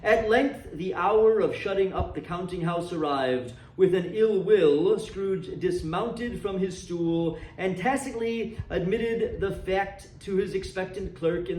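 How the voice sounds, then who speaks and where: A man reading a classic story aloud indoors as dramatic narration, with theatrical, deliberate emphasis. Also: high voice, a little mellow, slight background noise. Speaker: reader. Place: indoor room, seated reading from a book. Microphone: far camera. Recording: roomy echo, distant microphone.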